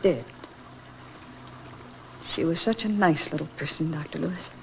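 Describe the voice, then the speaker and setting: An actress playing an earnest, emotional hospital attendant, dramatic in 1940s radio style. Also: female voice, deep voice, normal speed, vocal fry. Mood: grieving. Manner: urgent, dramatic. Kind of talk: 1940s radio melodrama acting. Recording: dull 1940s radio recording, faint noise.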